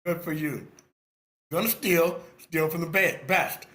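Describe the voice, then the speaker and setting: A man giving animated, informal feedback on a screenplay, theatrical and emphatic, repeating phrases for effect. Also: a little high, unhurried, roomy sound. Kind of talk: animated, informal script critique. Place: online video meeting.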